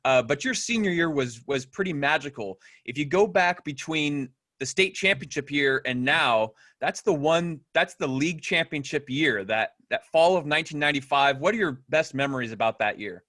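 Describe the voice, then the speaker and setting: A man's voice: a little high, animated, moderate pace, few pauses, pushed and bright. A man hosting a podcast over a video call, asking casual, friendly questions. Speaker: podcast host.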